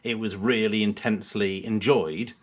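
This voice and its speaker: A man's voice: on the low side, fairly even intonation, moderate pace. A man speaking as a podcast guest in a casual, analytical discussion, often rephrasing himself as he thinks aloud.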